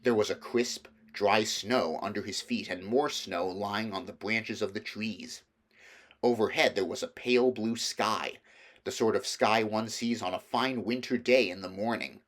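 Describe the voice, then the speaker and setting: Male audiobook reader, fairly low voice, storybook narration. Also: a little slow, fairly bright tone.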